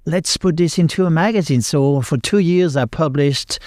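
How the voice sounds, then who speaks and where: A man's voice: on the high side, moderate pace, darkish tone, clean studio sound. A man in his fifties from the south of France, hosting a podcast interview and often echoing his guest's words. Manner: eager, agreeing, repeats the guest's words. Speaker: podcast host. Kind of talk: casual interview chat.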